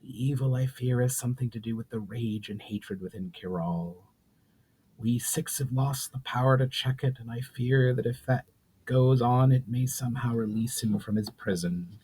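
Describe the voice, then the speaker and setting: An ancient sorceress of a fantasy storybook, played by a man, speaks with solemn, pained weariness. The delivery is slow and grave, as if weighed down by long grief. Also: mid-range voice, darkish tone, breathy edge, poor audio. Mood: grave, weary. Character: ancient grieving burning sorceress, solemn and weary.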